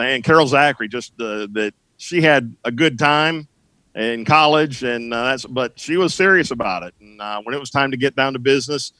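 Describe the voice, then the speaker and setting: A middle-aged man, a sports broadcaster, reminiscing as a guest in a casual conversation, telling anecdotes in a halting, searching way. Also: medium pitch, a bit bright, dry recording, low-quality recording.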